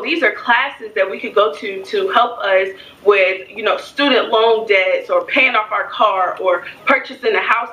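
A woman's voice: medium pitch, pushed and bright, roomy sound, distant mic, a little hiss or hum, poor audio. A young adult woman telling a personal story casually to camera, speaking emphatically and repeating phrases for stress. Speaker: vlogger. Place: home kitchen, indoors.

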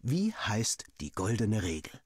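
A man's voice: lowish voice, wildly swooping pitch, a little slow, husky, no echo, studio quality, exaggerated voice. A man playing a young student villager who narrates his diary in a wry, dramatic, self-mocking way, as a scripted game story. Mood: wry, musing.

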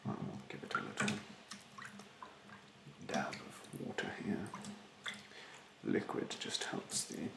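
A young man playing a rude, snobbish facial therapist in a soft-spoken, often whispered ASMR roleplay, with a haughty, halting delivery. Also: reverberant, very noisy, lightly acted. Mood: haughty, fussy. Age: young adult. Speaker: ASMR performer. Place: small bedroom, indoors. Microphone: close to the microphone.

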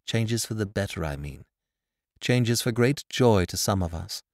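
A man voicing an excitable, warm-hearted young wife in a Victorian storybook, breathless and exclaiming. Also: lowish voice, unhurried, dry recording, clean studio sound, voice pushed down. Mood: gentle, nervous.

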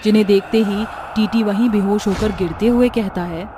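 A woman's voice: mid-range voice, fairly even intonation, moderate pace, background noise. A woman narrating a scripted story as a narrator.

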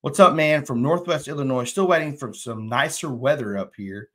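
A man's voice: medium pitch, moderate pace, fairly bright tone. A man, casually hosting a fishing live stream and reading viewer comments aloud from a small indoor room. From Texas. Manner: chatty, drawling, reads viewer comments aloud. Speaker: fishing channel host. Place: indoor room, plain wall.

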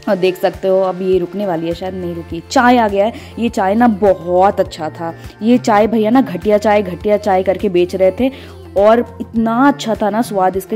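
A woman's voice: mid-range voice, dark tone. A woman, narrating a travel vlog in a casual, chatty way as she talks to her viewers. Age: 20s. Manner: chatty, informal, addressing viewers. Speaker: travel vlogger. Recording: music under the voice. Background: background music.

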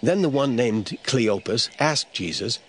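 A man's voice: mid-range voice, lively intonation, measured pace, fairly bright tone. A man narrating scripture as a scripted audio drama storyteller.

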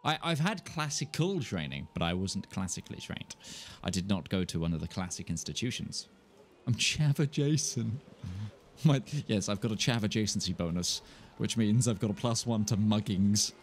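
A man's voice: on the low side, expressive, normal speed, a little hiss or hum. A young man from southern England with a mild Kentish accent and a nasal voice, rambling and joking at himself as he chats to viewers while playing a game at home. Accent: southern English, mild Kentish. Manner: rambling, self-mocking, laughs often. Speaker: streamer. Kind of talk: casual live-stream chat while playing. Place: indoor home room, gaming chair. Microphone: desk mic in front of him.

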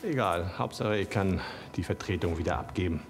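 An actor playing a kindly retired horse vet, older, soothing and easygoing, in a children's audio drama. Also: male voice, low-pitched, brisk. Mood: cheerful.

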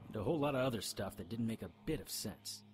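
An actor playing a wry, resolute hero in a radio drama, with a casual manner. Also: male voice, lowish voice, expressive, fast talker, faint music behind, natural voice.

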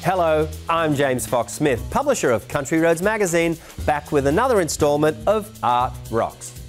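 A man's voice: on the high side, moderate pace, soft background music. A middle-aged man hosting an arts program, reading a scripted introduction in an upbeat presenter manner. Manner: lively, upbeat presenter delivery. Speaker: show host.

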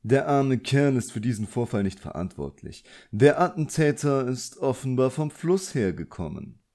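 A man's voice: medium pitch, big pitch swings, moderate pace, mellow, voice pushed up. A man voicing an elegant, condescending villain sorcerer in an audiobook, suave and politely commanding. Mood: placating. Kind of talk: audiobook character acting.

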